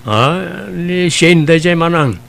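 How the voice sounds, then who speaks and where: Lalaki nga agak-akto kas nagaraw ti boses a barito. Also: male voice, fairly high pitch, lively intonation, slow pace, fairly bright tone. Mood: defensive. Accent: Ilocano. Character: grown son, dutiful farmer in love. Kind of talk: Ilocano radio-style drama acting.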